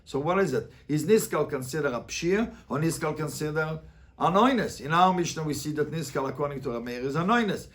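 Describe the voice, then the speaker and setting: Higher-pitched man teaching a Talmud class. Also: lively intonation, a little fast. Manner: emphatic, repeats phrases for explanation. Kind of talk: interactive Talmud lesson with students.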